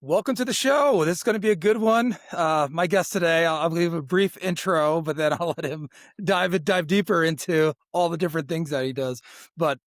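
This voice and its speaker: A man's voice: a little high, moderate pace, dry recording, clean studio sound. A man hosting a podcast, chatting casually and informally in an upbeat way as he interviews a guest. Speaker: podcast host.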